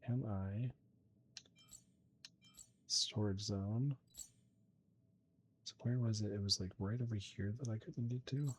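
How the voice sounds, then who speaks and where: A man's voice: on the low side, slow pace, pauses often, a little hiss or hum. A man hosting a gaming channel, giving casual commentary as he plays. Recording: faint game music behind the voice.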